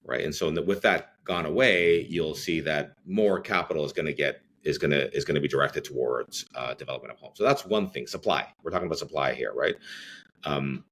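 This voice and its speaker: Very deep-voiced man, rambling. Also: fairly quick, fairly bright tone, slight background noise. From Greece. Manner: rambling, many fillers and restarts. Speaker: real estate CEO guest. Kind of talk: podcast interview.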